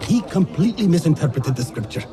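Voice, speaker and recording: Indignant courtier, lively rising voice, loud score behind. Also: male voice, fairly high pitch, fast, over loud music. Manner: indignant, scandalized. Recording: game audio with loud score.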